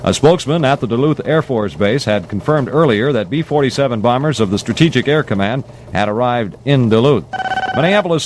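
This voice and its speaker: A man's voice: mid-range voice, darkish tone, slight background noise. An American man reading a 1960s radio news bulletin with formal, punchy headline delivery, on an old AM aircheck recording.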